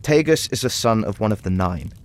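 An actor playing a curt, wary rebel leader in a fantasy audio drama, speaking tersely and with command. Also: male voice, fairly low pitch, expressive, a little fast, close to an everyday voice. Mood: commanding, irritated.

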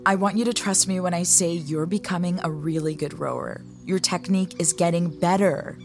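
A woman's voice: lowish voice, fairly even intonation, normal speed, faint music behind, natural voice. A woman playing a concerned, frank young college rowing mentor in a naturalistic audio drama. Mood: encouraging. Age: young adult. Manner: frank, earnest.

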